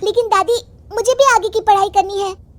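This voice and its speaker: महिला द्वारा निभाई बहुत पतली आवाज़ वाली स्कूली लड़की. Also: female voice, extremely high pitch, steady tone, normal speed, noisy background, voice pushed up. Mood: pleading, upset. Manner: pleading, earnest. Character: earnest schoolgirl granddaughter, eager to study. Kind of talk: acted children's moral story.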